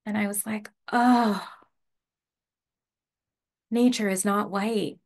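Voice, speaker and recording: A woman meditation teacher giving a relaxed, casual dharma talk over a video call, laughing often and using lots of fillers. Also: medium pitch, slow. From Canada.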